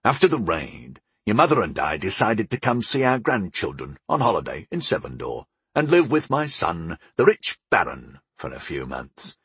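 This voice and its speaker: Gruff, proud older father voiced by a man, low-pitched. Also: big pitch swings, a bit bright, dry recording, full character voice. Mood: resolute. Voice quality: gruff, grumbling. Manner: unhurried, explaining, homespun wisdom. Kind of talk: audiobook character dialogue.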